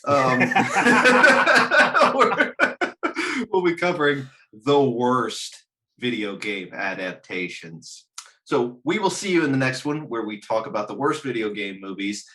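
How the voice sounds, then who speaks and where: A man's voice: mid-range voice, big pitch swings, slow pace, sharp tone. A man hosting a casual chat in a jokey, good-humored way. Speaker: host.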